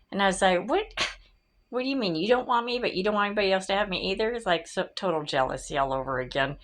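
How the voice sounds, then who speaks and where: A middle-aged woman, slightly raspy this morning, chatting casually and rambling into her phone as she walks from outside into her home. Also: on the low side, fairly bright tone. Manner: rambling, easily distracted, laughs often. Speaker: vlogger. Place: outdoors then inside a home. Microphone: phone held by hand.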